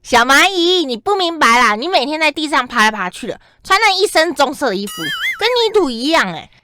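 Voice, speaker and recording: A woman's voice: high-pitched, very animated, a little fast, background noise, pitched above the performer's own voice. A woman voicing a teasing, curious little red deer in a children's radio drama, chatty and childlike.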